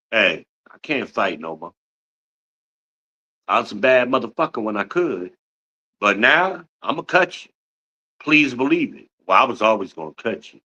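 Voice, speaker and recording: Man, 50s, podcast host, blunt and boastful, cursing freely; bright, forceful voice, dull recording. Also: on the low side. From Newark, New Jersey. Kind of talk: profane livestream rant.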